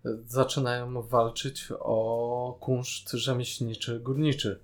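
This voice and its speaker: Guy on a podcast, normal pitch, chatty and into it, bit hesitant reviewing a show. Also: little pitch movement, slow pace. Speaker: podcast co-host. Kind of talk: casual review chat about a TV series.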